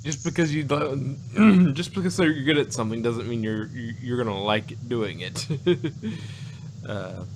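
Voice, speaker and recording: A man chatting casually as a podcast host, halting and hesitant, with chuckles between thoughts. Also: medium pitch, slight background noise. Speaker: podcast host reviewing an audio drama. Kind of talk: casual chat.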